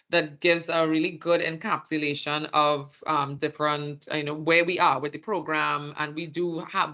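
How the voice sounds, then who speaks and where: Trinidadian accent, workshop presenter, very bright tone, halting phrasing. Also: medium pitch. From Trinidad and Tobago. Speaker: communications presenter.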